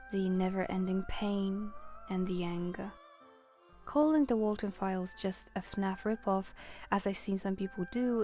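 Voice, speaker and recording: Female video essayist, mid-pitched and mellow, reading reflective scripted narration earnestly in measured phrases.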